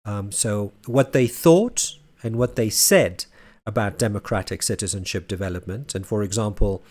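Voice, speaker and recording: A man with a fairly low voice gives a prepared academic presentation. He grew up in Barcelona and works as a university lecturer and researcher. He speaks in a careful, measured way and sets out his ideas item by item. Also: a little mellow. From Spain (Barcelona). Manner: measured, builds points as lists.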